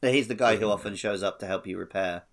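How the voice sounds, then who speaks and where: Low-voiced man in casual podcast chat. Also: fast talker. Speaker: podcast co-host.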